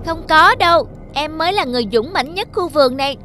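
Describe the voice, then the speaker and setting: A boastful young farmyard rooster voiced by a woman bickers in a high, theatrical cartoon voice. The delivery is quarrelsome and proud, made for children, with light music underneath. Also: expressive, brisk, sharp tone.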